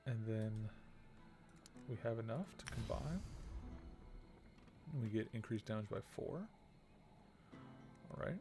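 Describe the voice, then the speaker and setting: A man's voice: animated, slow pace, pauses often, over music. A man in his thirties streaming a game, muttering casually as he thinks aloud and reads out text. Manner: low-key muttering, reading card text aloud. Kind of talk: casual let's play commentary, thinking aloud.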